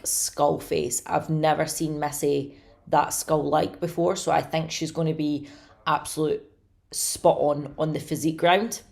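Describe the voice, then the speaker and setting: A woman with a slightly hoarse, raspy voice, chatting casually and eagerly to camera about her contest predictions. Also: sits low, darkish tone. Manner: chatty, enthusiastic, many fillers. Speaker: bodybuilding commentator.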